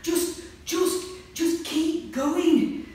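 A woman's voice: very high-pitched, flat delivery, normal speed, darkish tone, voice pushed up. Woman doing a frightened, urgent child. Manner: raised, urgent pleading. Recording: echoey room, mic at a distance.

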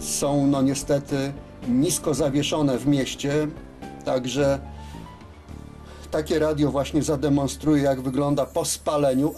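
Slow-paced man explaining. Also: fairly high pitch, dark tone. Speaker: radio repair technician presenting his work. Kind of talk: unscripted workshop demonstration. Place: radio service workshop, indoors at a bench.

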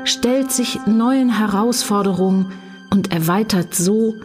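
A woman narrates a science-fiction audio play from a script. Her delivery is very slow, at a medium pitch, with music clearly playing behind her. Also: a little mellow.

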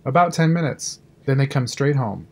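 A man's voice: mid-range voice, lively intonation, moderate pace, slight background noise, natural voice. A man playing a businesslike control room engineer in a fan audio drama.

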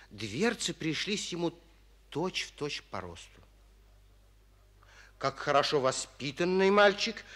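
A man narrating a children's fairy tale in an expressive, old-fashioned 1940s radio storytelling style. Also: medium pitch, slightly rough.

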